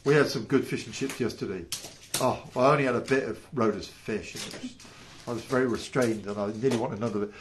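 A man in his fifties, a house church pastor, preaching informally to camera from his living room. He is animated and emphatic and asks many rhetorical questions. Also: medium pitch, a little fast, noisy background. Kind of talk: informal home sermon to camera. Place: living room by patio doors.

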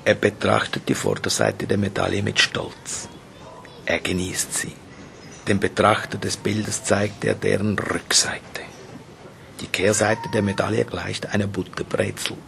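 A man reading in an absurdist radio play in a measured, matter-of-fact way. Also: fairly low pitch, gravelly, fairly bright tone, slight background noise, full character voice.

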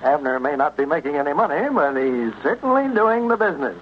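A man's voice: on the high side, big pitch swings, a little fast. A man announcing in the style of an old-time radio program. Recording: muffled, noisy old radio recording.

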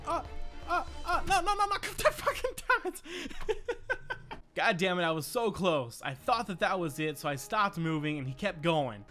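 A man hosting a let's-play, commenting excitedly and swearing freely, often repeating phrases in quick bursts. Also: high-pitched. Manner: foul-mouthed, exclamatory, repeats phrases in bursts. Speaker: gaming video host.

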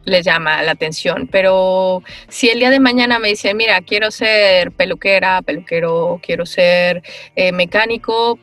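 A woman, a voice actress answering interview questions on a video call, thoughtful and animated, with many pauses and fillers. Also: fairly high pitch, dry recording. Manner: animated, gestures while talking. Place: small room with foam panels.

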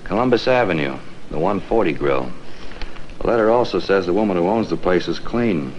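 An actor playing a businesslike investigator handing out an assignment, dry and terse, in mid-century crime film style. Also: male voice, deep voice, animated, brisk. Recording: muffled, noisy old film soundtrack.

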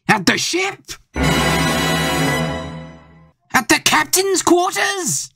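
A teenage boy voicing a vain, pompous gentleman detective with theatrical delivery in an amateur audio play. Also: very high voice, vocal fry, voice pushed up, exaggerated voice. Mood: alarmed.

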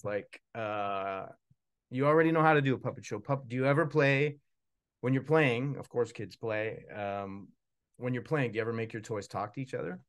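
A man in his forties chatting casually at a live book launch as a puppeteer and author, rambling and self-deprecating. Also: medium pitch. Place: small indoor room with puppet stage.

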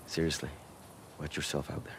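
A man's voice: extremely low pitch, little pitch movement, a little fast, creaky, background noise. An actor playing a loyal, blunt soldier in his thirties in a game, talking in a casual, plain-spoken way. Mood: caring. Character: loyal, blunt young male militia soldier. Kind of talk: naturalistic game cutscene acting.

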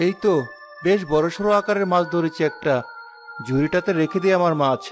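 A man's voice: high voice, a little fast, music in the background, lightly acted. A man voicing a kind, earnest young village fisher boy in a cartoon. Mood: pleased. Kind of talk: cartoon character acting.

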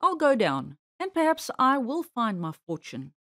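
A genteel old gentleman rabbit from a children's storybook, played by a woman. He is jolly, curious and trusting, with polite old-fashioned manners. His pitch is lively and bouncing, in a dry, close sound. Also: measured pace, no echo, full character voice. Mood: musing, trusting.

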